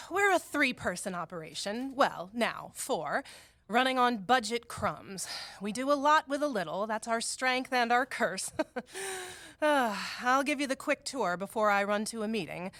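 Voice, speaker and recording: A woman running a small office in an audio drama. Her voice sits a little high, and she is businesslike and dry. She sounds like a practical, stretched-thin boss. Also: expressive, measured pace, a bit bright, no echo. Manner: businesslike, dry, matter-of-fact. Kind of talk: scripted audio drama dialogue.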